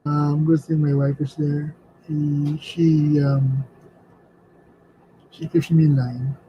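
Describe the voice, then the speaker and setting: Slow, halting man in a home studio. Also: fairly high pitch, level tone. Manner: hesitant, halting, many fillers and repeated words. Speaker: artist and vlogger. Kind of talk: casual reflective chat while drawing. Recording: slightly dull lo-fi sound with faint background noise.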